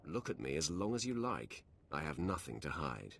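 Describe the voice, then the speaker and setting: Very deep, menacing dark lord. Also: male voice, very animated, exaggerated voice. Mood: taunting. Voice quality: very deep, husky. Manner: commanding, deliberate, taunting. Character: menacing, commanding male Sith lord, coldly tempting. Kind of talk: video game voice acting.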